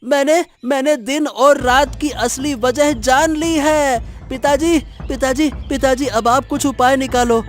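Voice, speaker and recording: A woman's voice: high-pitched, takes it slowly, hardly any pauses. A woman voicing an agitated child in a cartoon moral story, calling out urgently and repetitively. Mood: urgent, alarmed.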